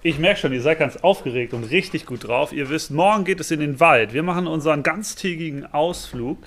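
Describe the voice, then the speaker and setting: A man playing a friendly, organised schoolteacher in an amateur radio play, instructing a group with hesitant pauses. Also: a little high, a bit bright, slight background noise. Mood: cheerful, instructive. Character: friendly, organised male schoolteacher addressing his class.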